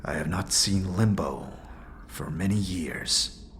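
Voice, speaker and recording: Man acting a slow, solemn warrior monk. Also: low-pitched, a bit bright, a little hiss or hum. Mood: sorrowful, grave. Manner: solemn, measured, archaic formal phrasing. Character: grave, stoic otherworldly warrior monk, male. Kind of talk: fantasy audio drama acting.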